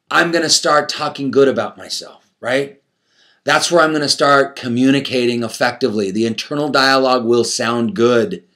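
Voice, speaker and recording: A man's voice: mid-range voice, fairly bright tone. A man giving a conversational motivational coaching talk to an audience, repeating phrases emphatically, asking rhetorical questions and acting out his inner self-talk.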